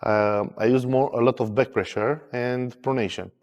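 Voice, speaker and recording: A man in his thirties, an armwrestler, speaking in short, halting English in a pre-match interview. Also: mid-range voice, steady tone, a little mellow, in a large hall, dull audio. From Romania. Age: 30s.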